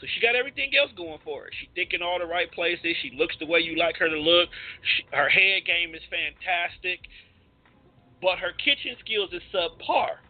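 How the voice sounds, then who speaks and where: Husky, opinionated American man on thin audio. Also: high voice, expressive, moderate pace, phone-quality sound, soft background music. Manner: opinionated, emphatic, repeats points. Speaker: podcast host. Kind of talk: casual debate and banter.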